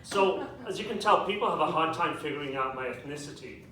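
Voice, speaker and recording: A young man with a British accent doing a stand-up comedy set in a club with a live audience, delivering dry, self-deprecating punchlines with quick asides. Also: on the high side, expressive, a crowd in the background. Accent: British English. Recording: echoey club, distant mic, audience noise. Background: audience laughter.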